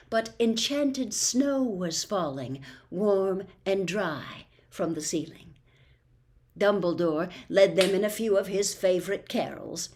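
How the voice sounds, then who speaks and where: Animated woman reading a storybook. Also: medium pitch. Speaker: audiobook reader. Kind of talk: expressive storybook narration.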